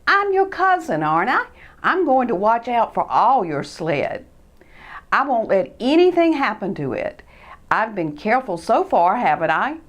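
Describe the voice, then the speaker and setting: A woman's voice: a little high, big pitch swings, a little slow, voice pushed up. A woman voicing a careless young boy mouse cousin who talks in a raised, defensive, insistent way.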